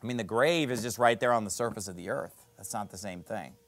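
A man preaching a sermon as pastor in a church, emphatic, with loud peaks, low drops and sarcastic asides. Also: quick pace.

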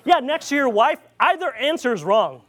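A man with a Filipino accent and a notably high-pitched voice preaches in an informal, rambling way. He tells stories with frequent fillers and restarts. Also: very animated, moderate pace, fairly bright tone. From Philippines. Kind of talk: informal sermon storytelling.

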